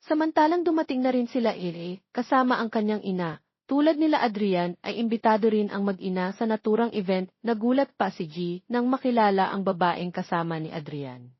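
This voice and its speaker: Woman narrator reading a romance story, slightly husky, dull sound. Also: medium pitch, expressive, fairly quick, no room sound. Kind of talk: scripted dramatic narration of romance fiction.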